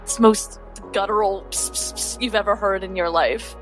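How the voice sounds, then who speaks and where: Woman player narrating her rpg character's moves, normal pitch, bit of quiet music in back. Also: steady tone, moderate pace. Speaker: tabletop roleplay player.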